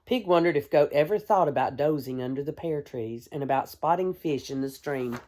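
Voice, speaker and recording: A woman, a kindergarten teacher, reading a storybook aloud to young children in a gentle, expressive way. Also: low-pitched. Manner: gentle, hushed, expressive storytelling with deliberate pauses; addresses young listeners with simple questions.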